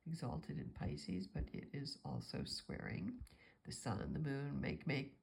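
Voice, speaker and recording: A woman's voice: a bass voice, big pitch swings, unhurried, creaky, a little mellow. A woman astrologer with a stuffy nose from a cold, talking through a forecast in a meandering, thinking-aloud way.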